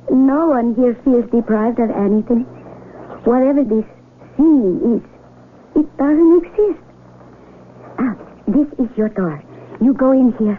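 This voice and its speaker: A woman's voice: sits high, expressive, slow, pauses often. A woman acting in a radio drama as an earnest, sheltered young blind villager who speaks in simple, formal words. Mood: friendly, wondering. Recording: tinny radio broadcast with faint noise.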